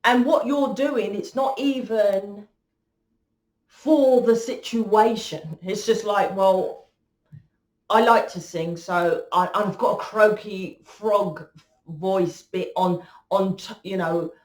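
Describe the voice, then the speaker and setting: A woman's voice: medium pitch, takes it slowly. A woman giving a rambling tarot reading, blunt and swearing freely. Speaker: tarot reader. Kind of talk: casual tarot reading monologue. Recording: echoey room.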